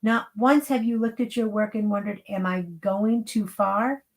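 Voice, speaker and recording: A woman's voice: mid-range voice, fairly even intonation, unhurried, natural voice. A woman voicing a mocking, scolding troll who torments a writer in a story reading. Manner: chiding, mocking.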